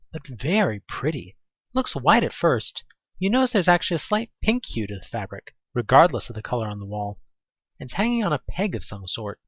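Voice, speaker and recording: Dramatic, animated horror narrator, dull dry recording. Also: medium pitch, no echo. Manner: expressive, dramatic, conversational asides. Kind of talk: scripted second-person horror audio drama narration.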